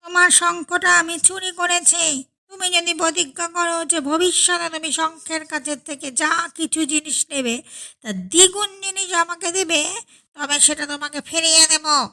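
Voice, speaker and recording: A woman's voice: extremely high pitch, fairly even intonation, normal speed, pushed and bright, pitched above the performer's own voice, exaggerated voice. A woman voicing a sly, greedy male village swindler who feigns kindness in a storybook tale. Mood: bargaining. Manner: falsely kind, scheming.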